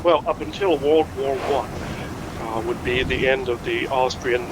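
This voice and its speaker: Man acting as a measured, scholarly explainer, noisy recording. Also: mid-range voice, close to an everyday voice. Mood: measured, informative. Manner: measured, scholarly, careful phrasing. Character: knowledgeable, measured amateur historian named Steve. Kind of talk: naturalistic fiction podcast acting, explanatory.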